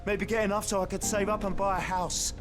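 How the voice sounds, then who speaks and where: A man's voice: sits high, fairly even intonation, fairly quick, lightly acted. An actor playing a disillusioned but resolute male survivor leader in a video game, bitter and wry. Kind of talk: naturalistic video game acting.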